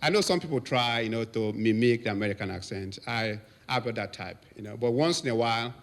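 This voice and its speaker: Nigerian priest in his fifties, anecdotal speech to his congregation, lively when quoting others. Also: mid-range voice, fairly quick. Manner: storytelling, laughs often, lively quotes. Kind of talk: anniversary thank-you speech.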